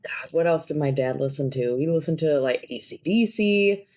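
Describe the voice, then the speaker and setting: A woman's voice: low-pitched, lively intonation, normal speed, darkish tone. A woman, chatting casually and telling stories as a podcast co-host. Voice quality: husky smoker's voice. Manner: chatty, laughs easily, storytelling. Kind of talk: casual chat.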